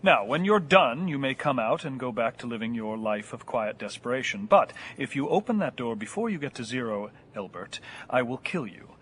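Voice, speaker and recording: A man's voice: medium pitch, very animated, normal speed, a bit bright, husky. An actor playing a deranged fugitive gunman in an audio horror drama, menacing yet mock-polite and florid in his speech. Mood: threatening.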